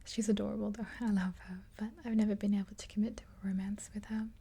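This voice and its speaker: A woman in her twenties doing a gentle ASMR roleplay in a soft, unhurried, breathy whisper, close to the mic. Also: medium pitch, flat delivery. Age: 20s. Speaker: ASMR video creator. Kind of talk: ASMR roleplay, whispered makeover with rambling chat. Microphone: close to the mic, facing camera.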